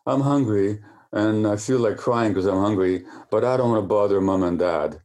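A man's voice: fairly low pitch, moderate pace, a little mellow. A man being interviewed who explains at length in a lecturing way, often stumbling over repeated words as he restarts. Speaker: interview guest.

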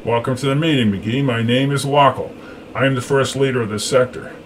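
A man's voice: mid-range voice, fairly even intonation, a little fast, close to an everyday voice. A man voicing a commanding, suspicious rebel leader in an audiobook, with faint hum behind him. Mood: guarded warning.

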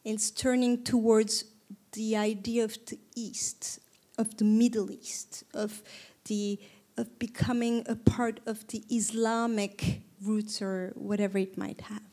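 Woman from Turkey, thirties, higher-pitched, reflective, pauses and restarts often. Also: level tone, dark tone. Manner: reflective, with frequent ums and restarts. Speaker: panel speaker. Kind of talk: conversational talk to an audience.

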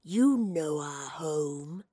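Ancient hissing wraith, dreadfully slow. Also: female voice, low-pitched, lively intonation, mellow, dry recording, clean studio sound, pitched below the performer's own voice, heavily acted. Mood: cold menace. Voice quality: hissing, breathy, whispery. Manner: very slow, drawn-out, ominous. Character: menacing ancient wraith creature wearing a stolen human body.

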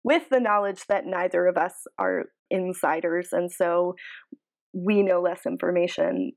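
A woman co-hosting a casual podcast, talking through analysis in a measured, explanatory way with careful qualifications. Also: fairly low pitch, a little mellow. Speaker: podcast co-host.

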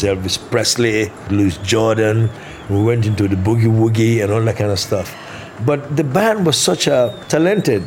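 An older drummer and musical director recalling his band years in an interview, in a steady, matter-of-fact way. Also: on the low side, a little hiss or hum. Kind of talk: interview reminiscence. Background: music playing underneath.

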